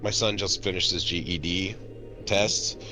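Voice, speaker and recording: A man's voice: deep voice, unhurried, over music. A middle-aged man chatting casually as a podcast co-host, with an encouraging, agreeable manner. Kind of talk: casual chat.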